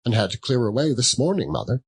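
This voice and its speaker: A man's voice: mid-range voice, very animated, fast talker, husky, heavily acted. A man voicing a tired, dutiful young working girl in a storybook reading. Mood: apologetic.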